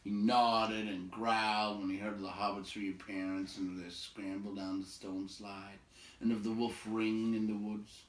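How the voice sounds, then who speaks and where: A man reading a story aloud in an expressive narrating style. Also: on the low side, unhurried. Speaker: story reader. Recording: roomy echo, distant from mic.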